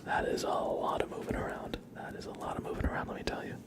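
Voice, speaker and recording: An ASMR streamer chatting casually in a soft, rambling whisper during a livestream. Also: fast.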